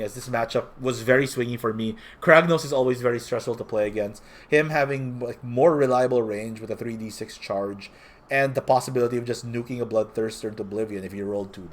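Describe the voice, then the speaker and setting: A young man from the Philippines with a slightly nasal voice, a little sick, giving a casual spoken recap as a tournament player with frequent self-corrections. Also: medium pitch.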